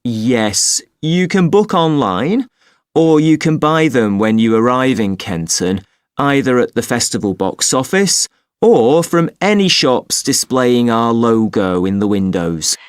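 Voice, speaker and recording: A man playing a patient, polite festival information officer in an acted listening exercise, giving details in a measured, helpful way. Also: medium pitch, expressive, clear, resonant tone, no room sound, studio quality, lightly acted. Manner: measured, helpful, spells words out slowly. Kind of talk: acted listening-test dialogue, clearly enunciated.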